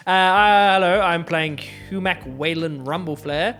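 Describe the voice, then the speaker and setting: A man who plays on an improv comedy show, explaining things casually in a hesitant, halting way. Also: high voice, fairly bright tone, soft background music.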